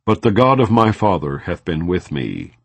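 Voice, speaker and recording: A man reading scripture aloud in a measured, solemn way. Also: sits low, big pitch swings, gravelly, husky, no echo. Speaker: Bible reader.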